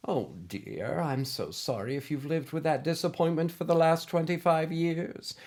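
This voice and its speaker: Storybook retired schoolmistress, female played by a man: raised pitch, formal, exact, kind but gently scolding. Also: moderate pace, natural voice. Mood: warm, reassuring. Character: kindly but exacting older woman, former schoolteacher.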